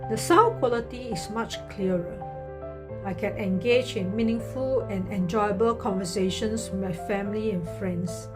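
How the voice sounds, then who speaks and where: A woman in her sixties gives a scripted user testimonial in a medium-pitched voice. Music plays clearly behind her. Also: normal speed. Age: 60s. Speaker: product user giving testimonial.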